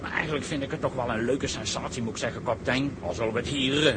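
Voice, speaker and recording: An actor playing a wealthy, affable yacht owner in a Dutch sea drama, chatty, genteel and self-satisfied. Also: male voice, medium pitch, fairly even intonation, quick pace, very noisy, natural voice. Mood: resigned, cheerful. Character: wealthy, affable yacht owner, flustered when money is at stake.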